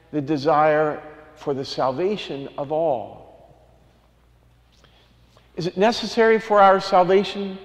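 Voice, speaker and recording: A male priest giving a homily, singing a long list of saints and then explaining it in a relaxed, conversational way. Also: high voice, in a large hall. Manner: sings a long cumulative list, then talks plainly and conversationally. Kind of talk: homily with a sung carol.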